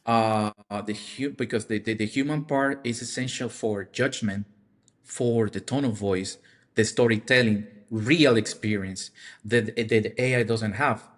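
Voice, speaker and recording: A man speaking as a podcast guest, explaining his points haltingly and repeating words as he searches for phrasing. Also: on the low side. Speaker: podcast guest, marketing expert. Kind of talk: explanatory discussion.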